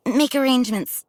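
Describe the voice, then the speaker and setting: A woman's voice: high voice, husky, exaggerated voice. A woman voicing a formal, dutiful and weary knight commander in a video game. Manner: formal, courteous. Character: courteous, dutiful female knight commander, weary but composed.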